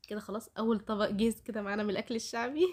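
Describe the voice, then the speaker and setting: A woman's voice: mid-range voice, fairly even intonation, racing, a little mellow. A woman with an Egyptian accent and a voice altered by a heavy cold, chattily narrating a recipe straight to her viewers in a casual way. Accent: Egyptian Arabic. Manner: chatty, addresses viewers directly. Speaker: home cooking vlogger.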